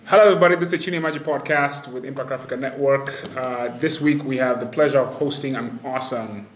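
A man's voice: mid-range voice, steady tone, fast, faint music behind. A man hosting a casual podcast interview. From Kenya. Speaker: podcast host. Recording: echoey room with faint background noise.

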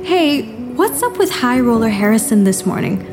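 Audio drama role: brisk, wry female assistant, slightly high voice, faint music behind. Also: sing-song. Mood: gossipy, wry. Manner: cheeky, matter-of-fact.

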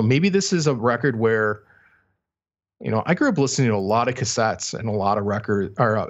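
Male co-host, middle-aged, fairly low voice, slightly muffled lo-fi audio, tentative casual music talk. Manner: tentative, thinking aloud. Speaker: podcast co-host.

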